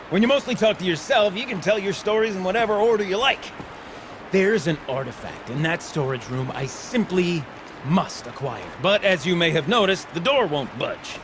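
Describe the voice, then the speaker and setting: Theatrical, excitable, high-pitched old eccentric. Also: male voice, noisy background. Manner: theatrical, wordy, grandiloquent. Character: eccentric, excitable older man, rambling self-taught tinkerer. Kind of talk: video game character acting.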